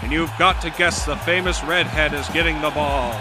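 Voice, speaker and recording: Brief: male actor for 1920s radio football announcer, high voice, shouted excited play-by-play, music underneath. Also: moderate pace, lightly acted. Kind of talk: old-time sports commentary. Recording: music behind the voice.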